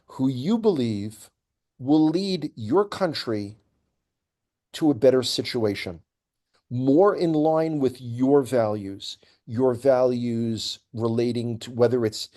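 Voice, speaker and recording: A man with a warm, relaxed tone and a medium pitch talks politics as a guest commentator. He is opinionated and emphatic, laying out his points deliberately in a conversational way. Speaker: regular guest commentator on a news update programme.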